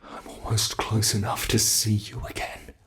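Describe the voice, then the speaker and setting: A man's voice: lowish voice, completely flat delivery, normal speed, sharp tone. A man voicing a centuries-old predatory monster in an ASMR roleplay, theatrical and taunting, savoring each phrase with haughty, possessive menace. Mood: menacing, hunting.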